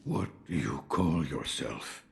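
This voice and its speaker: A gruff old hermit from a video game. His voice sits low and he takes his time over every phrase. He sounds weary and cryptic, with a dry amusement. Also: lively intonation, slow, noisy background, heavily acted.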